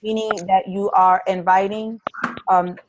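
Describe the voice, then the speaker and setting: Woman, team coach, live call presentation, earnest, encouraging, many ums and restarts, mid-pitched voice. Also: little pitch movement, unhurried, muffled recording, background noise. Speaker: fitness business coach leading a team training call. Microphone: conference call.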